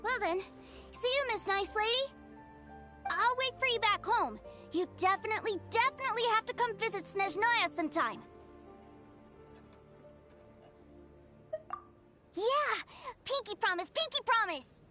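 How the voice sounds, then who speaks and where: A sweet, eager little boy with a very high voice. He sounds innocent and earnest, bubbling with excitement. Game music plays behind him. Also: normal speed, heavily acted. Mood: excited. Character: sweet, eager young boy, adores his brother. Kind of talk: video game voice acting.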